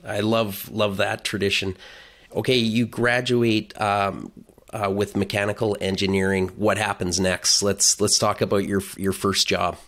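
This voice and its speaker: A man's voice: on the low side, level tone, measured pace, a bit bright. A man hosting a podcast who asks a guest short, curious questions. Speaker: podcast host. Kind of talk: interviewing a guest.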